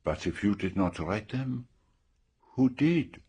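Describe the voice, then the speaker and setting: Casting: a grave radio-drama detective, low and husky, formal, deliberate, probing questions. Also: male voice, animated, darkish tone, full character voice. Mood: probing, calm. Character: methodical, probing older male detective, calm and grave.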